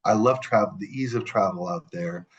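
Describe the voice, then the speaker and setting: A man from the Middle East speaks as a podcast guest, his voice sitting a little low. He tells personal stories in an easy, conversational way. He hammers his points home by repeating key words, and he often stops and restarts mid-sentence. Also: moderate pace, muffled recording. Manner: emphatic, repeats words for stress; frequent restarts and self-corrections. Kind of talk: casual conversation, personal storytelling.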